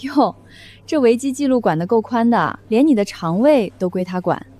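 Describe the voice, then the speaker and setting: A woman playing a commanding, amused elder sister in an animated romance drama, with a cool, sardonic manner. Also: fairly high pitch, normal speed, close to an everyday voice.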